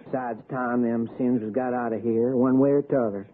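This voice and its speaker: An actor playing a blunt, stubborn young hillbilly with a rustic Appalachian accent in an old radio suspense drama. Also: male voice, mid-range voice, fairly even intonation, moderate pace, narrow, tinny audio, lightly acted. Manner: rustic, plainspoken. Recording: thin, noisy 1960s radio recording.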